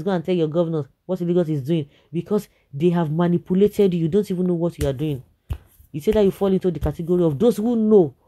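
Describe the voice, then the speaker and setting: A Nigerian commentator gives opinionated, informal takes on the news. The voice is deeply soft-edged and dark in tone. The delivery is exasperated and emphatic, with phrases repeated for weight and slips into Pidgin. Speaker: commentator reading and reacting to viewer comments.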